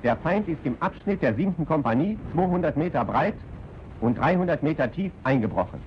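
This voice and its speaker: A man playing a brisk, stern German army officer in a 1940s training film, with clipped, commanding speech. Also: a little high, lively intonation, natural voice. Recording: dull, noisy 1940s film soundtrack.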